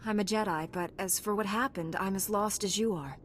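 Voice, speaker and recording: An actress playing a dutiful, dryly confident warrior knight in a video game, calm and self-assured. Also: female voice, medium pitch, fairly quick, a little hiss or hum, natural voice. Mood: composed, assured. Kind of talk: video game character acting.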